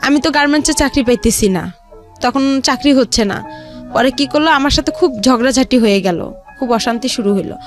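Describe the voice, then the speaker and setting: High-pitched Bangladeshi woman telling personal story. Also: fairly quick, faint music behind. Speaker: guest telling their life story.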